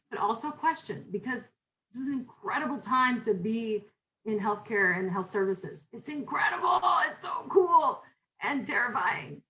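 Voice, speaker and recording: Loud, chatty woman teaching online. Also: fairly high pitch, low-quality recording. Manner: chatty, informal, rambling with many fillers and restarts. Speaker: university instructor. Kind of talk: online class welcome and syllabus talk. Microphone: video call.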